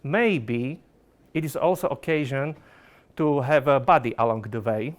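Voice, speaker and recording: Slovak guy presenting at a conference, lots of uhs and restarts, middle pitch voice. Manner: halting, many fillers and restarts, non-native phrasing. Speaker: product manager giving a talk.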